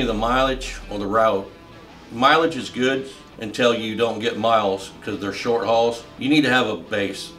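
A man talks plainly about life as a long-haul truck driver. His voice sits low, and he reels off his points in short, matter-of-fact bursts. Soft music plays faintly underneath. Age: 50s. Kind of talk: answering interview questions.

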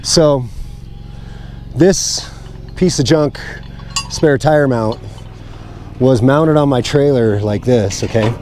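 A man's voice: medium pitch, takes it slowly, long pauses, darkish tone, slight background noise. A middle-aged man explaining a job to camera in a casual, plain-spoken, informal way. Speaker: homestead vlogger. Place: outdoors on open rural land. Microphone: clip-on mic and handheld camera.

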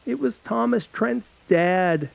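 A man's voice: high-pitched, slow pace, gravelly, pitched above the performer's own voice, heavily acted. A man voicing a panicked, guilt-ridden seventeen-year-old boy in a read-aloud horror story. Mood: guilty confessing.

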